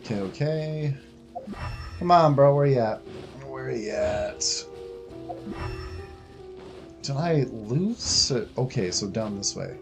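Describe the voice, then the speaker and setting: Animated man doing casual game commentary. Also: mid-range voice, slow pace, vocal fry, long pauses. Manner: colloquial, muttering reactions to self. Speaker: game streamer. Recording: faint game music behind the voice.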